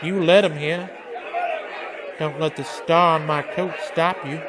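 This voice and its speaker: A man's voice: a little high, steady tone, measured pace, a loud crowd, very noisy, lightly acted. A man playing a stern, world-weary marshal in a Western radio drama, with a commanding, curt manner. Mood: defiant, challenging. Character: stern, world-weary male frontier marshal facing down lynch mobs.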